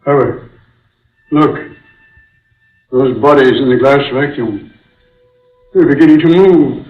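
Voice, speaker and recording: An awed male onlooker acted by a man speaks slowly in old radio horror style. He sounds distant and echoey on a muffled 1940s recording. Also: mid-range voice, soft background music, lightly acted. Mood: awed, alarmed. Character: awed male companion witnessing the supernatural.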